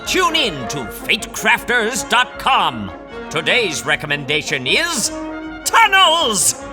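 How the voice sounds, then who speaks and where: Casting: dramatic retro radio announcer, unhurried, big pitch swings, emphatic scripted delivery. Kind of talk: theatrical old-time radio announcing.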